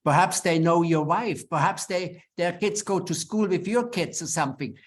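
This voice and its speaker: A man's voice: sits high, moderate pace. A middle-aged man from Switzerland with a Swiss German accent, English not his first language, giving earnest, plain-spoken advice in a webinar talk with frequent restarts. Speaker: webinar speaker. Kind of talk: advisory talk to lawyers.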